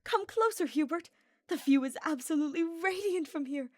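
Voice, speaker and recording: A woman's voice: high-pitched, moderate pace, a little mellow, dry recording, studio quality, pitched above the performer's own voice, natural voice. A woman voicing an exuberant, earnest young male knight with effusive, florid speech in a story reading. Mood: awestruck, exuberant. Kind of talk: dramatised fiction reading.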